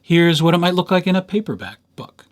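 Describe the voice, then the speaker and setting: A man giving an informal software tutorial as a library instructor, talking conversationally with lightly playful asides. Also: high voice, sing-song, a little fast.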